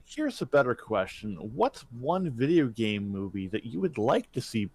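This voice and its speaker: A man's voice: mid-range voice, very animated, moderate pace, darkish tone, husky. A man co-hosting a casual podcast chat, speaking in short remarks and brief interjections. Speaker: podcast co-host.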